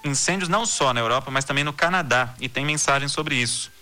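A man hosting a news podcast from Brazil, reading the day's stories and listener messages in a steady, measured newsreader delivery. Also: medium pitch, soft background music.

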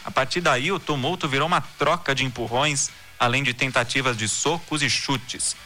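A man hosting a news podcast from Brazil, reading the day's stories and listener messages in a steady, measured newsreader delivery. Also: mid-range voice, soft background music.

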